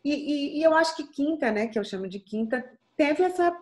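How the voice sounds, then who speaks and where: A middle-aged Brazilian singer from Bahia with a light Bahian accent and a soft voice, speaking earnestly and reflectively in an interview, with frequent restarts. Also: female voice, high voice, animated, fairly quick. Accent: Bahian Portuguese. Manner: thoughtful, earnest, frequent restarts and tag questions.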